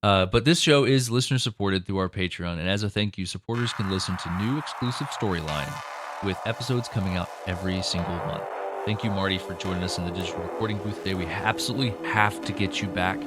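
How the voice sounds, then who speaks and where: A man's voice: low voice, fast. A man co-hosting a podcast, chatting casually and plugging the show and its guest. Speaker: podcast co-host. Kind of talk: casual podcast chat and plugs. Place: remote online recording session. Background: music under the voice.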